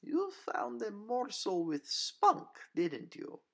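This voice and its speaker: Man putting on a sly, mocking vampire villainess, pitched up. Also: huge pitch swings, takes it slowly, gravelly, husky, full character voice. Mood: amused menace. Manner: mocking, condescending. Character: sly, amused, menacing female vampire villain. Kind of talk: audio story character dialogue.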